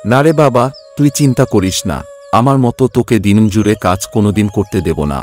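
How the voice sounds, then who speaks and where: A man's voice: fairly low pitch, animated, fast talker, darkish tone, natural voice. A man playing a humble, hardworking poor father in a cartoon story, speaking earnestly and reassuringly. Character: hardworking, self-sacrificing poor labourer father, devoted and humble. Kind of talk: Bengali cartoon story voice acting.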